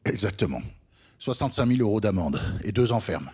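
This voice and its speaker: An actor playing a weary older retired man in a dark-comedy radio play, confessing in a deadpan, matter-of-fact way. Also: fairly low pitch, fairly quick, noisy background, natural voice.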